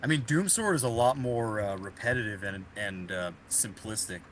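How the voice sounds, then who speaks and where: A man's voice: on the low side, moderate pace, a bit bright, slight background noise. A man co-hosting a casual music podcast, laid-back and sweary, often trailing off at the ends of his sentences. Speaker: podcast co-host.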